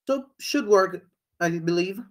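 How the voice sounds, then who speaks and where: A man's voice: sits high, expressive, a little slow. Young male speaker, tentative, filler-heavy, plain voice. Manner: hesitant, tentative, many fillers. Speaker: conference speaker. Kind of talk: answering audience questions.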